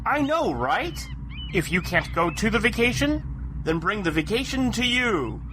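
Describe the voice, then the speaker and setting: A woman playing a brisk, nerdy lab director who explains science in a scripted comedy skit. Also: mid-range voice, big pitch swings, noisy background. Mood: enthusiastic, explaining.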